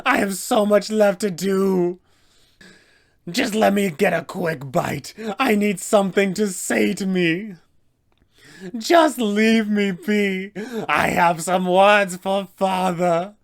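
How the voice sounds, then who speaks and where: A man voicing a starving, desperate young vampire spawn from a fantasy game, pleading and menacing in drawn-out screamed outbursts. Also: high-pitched, creaky, voice pushed up, heavily acted. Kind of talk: theatrical fantasy game villain acting.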